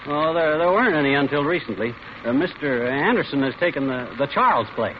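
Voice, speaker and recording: A man's voice: on the high side, animated, fairly quick, a little hiss or hum, lightly acted. An actor playing a tense middle-aged husband telling his own ordeal in hard-boiled, dramatic old radio thriller style. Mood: nervously casual. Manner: hard-boiled, dramatic first-person storytelling. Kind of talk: 1940s radio thriller narration. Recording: muffled old radio recording with music.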